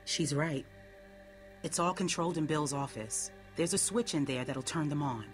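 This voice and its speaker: A woman playing a tough, sceptical survivor in a game drama. Her voice is very low, and she talks in a blunt, dry, level-headed way over music. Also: moderate pace, natural voice. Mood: firm, dismissive. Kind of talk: dramatic video game acting.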